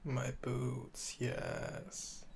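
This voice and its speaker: A man playing a calm, flirtatious, obsessive assassin under interrogation in an intimate ASMR roleplay, teasing and smug in a deliberate way. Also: mid-range voice, gravelly, a little hiss or hum, heavily acted. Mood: calm, controlled, flirtatious.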